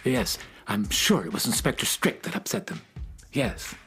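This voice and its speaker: Casting: male actor as courteous, concerned schoolteacher for kids' radio play, mid-pitched, very expressive, music underneath. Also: moderate pace, vocal fry, full character voice. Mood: polite, calm. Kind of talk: children's audio drama acting.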